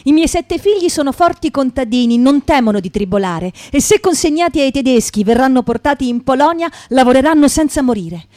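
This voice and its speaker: A woman's voice: high-pitched, expressive, a little fast, hardly any pauses, a little mellow, no room sound. A woman playing a proud, defiant old peasant father in a radio theatre monologue, plain and resolute.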